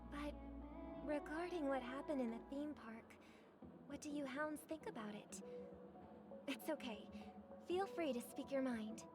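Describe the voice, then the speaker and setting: A woman playing a poised, gracious young celebrity diplomat in a video game, calm and reassuring. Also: high voice, measured pace, pauses often. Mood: gentle, reassuring. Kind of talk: scripted video game voice acting. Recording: game dialogue over background music.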